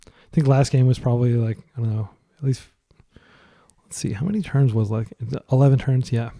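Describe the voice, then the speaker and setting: Chatty man with a mellow voice. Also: mid-range voice, fairly even intonation, a little fast. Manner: chatty, thinking aloud with fillers. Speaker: gaming commentator. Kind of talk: casual gameplay commentary.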